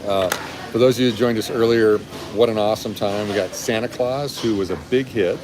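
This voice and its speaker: A man's voice: fairly even intonation, brisk. A man in his fifties chatting casually and rambling a little as he hosts a live stream, recorded on a phone indoors. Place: busy restaurant, indoors. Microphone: phone held at arm's length. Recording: restaurant chatter and rumble behind voice.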